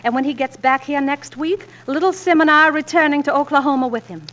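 A woman's voice: sits high, fast, fairly bright tone. An actress playing a spirited young frontier wife, loyal to her husband, in 1940s radio drama style. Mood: exasperated, defiant. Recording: dull vintage radio recording.

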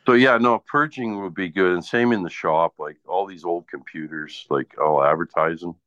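Deep-voiced, blunt man. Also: normal speed, fairly bright tone. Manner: blunt, grumbling, profane. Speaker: small business owner and online seller. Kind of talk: casual chat. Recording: dull lo-fi sound in echoey room.

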